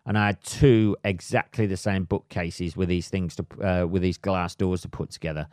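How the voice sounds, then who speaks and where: A man, a little hoarse, ranting comically and swearing constantly in a rambling solo podcast monologue. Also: low-pitched, fairly even intonation, normal speed, a little mellow, no room sound, studio quality. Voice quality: heavy swearing, rambling with many fillers. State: slightly hoarse. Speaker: podcast host. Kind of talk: solo comedic rant.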